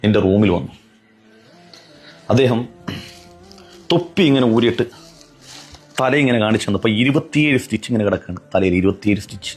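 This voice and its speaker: A man's voice: lowish voice, animated, fairly quick, pauses often, a little mellow, soft background music. A man giving an admonishing religious commentary, earnest and pleading, driving points home with repetition and rhetorical questions.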